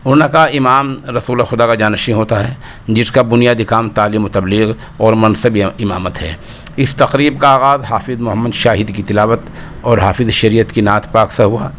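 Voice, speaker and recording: A man reading a scripted news report as presenter, in formal Urdu diction. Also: fairly low pitch. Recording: clearly noisy recording with ambient sound.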